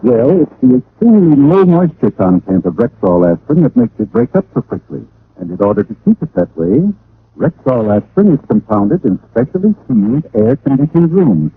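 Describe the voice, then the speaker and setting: A man's voice: medium pitch, big pitch swings, brisk, slightly rough, no room sound. An American man explaining a product like a salesman in a 1940s radio advertisement. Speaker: commercial pitchman. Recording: tinny vintage radio recording.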